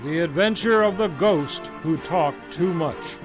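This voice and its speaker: A male radio announcer with a stately, booming delivery. His voice sits slightly high. Music plays clearly behind him. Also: measured pace.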